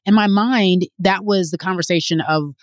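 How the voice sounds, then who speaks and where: A woman interview host with a somewhat low voice. She keeps the chat casual, affirming her guest with enthusiasm and laughing often. The recording is dry and close. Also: moderate pace, no echo.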